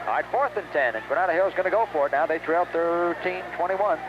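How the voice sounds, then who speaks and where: A man's voice: on the high side, fast talker. An American man calling live football play-by-play on the radio, in short clipped phrases that follow the action. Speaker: play-by-play sports announcer. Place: outdoors at a stadium. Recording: dull, noisy 1970s radio recording.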